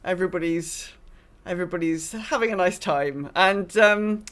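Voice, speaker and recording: A woman's voice: lowish voice, normal speed, fairly bright tone. A woman hosting a podcast in casual, chatty conversation, with a giggly, conversational manner. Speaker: podcast host and game master.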